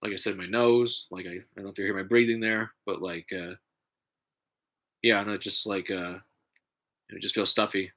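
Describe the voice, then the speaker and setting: A man with a stuffy, congested nose, talking in a halting, casual way with many fillers and restarts, vlogging from home. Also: on the low side, steady tone, a bit bright. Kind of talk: casual rambling vlog.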